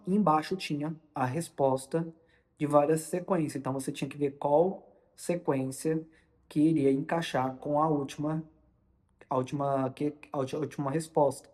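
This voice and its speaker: A young man with a Brazilian Portuguese accent tells a story informally, in a halting way, often repeating and correcting his words. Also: on the high side, a little mellow. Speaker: vlogger recounting personal experience. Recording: long reverberant room echo.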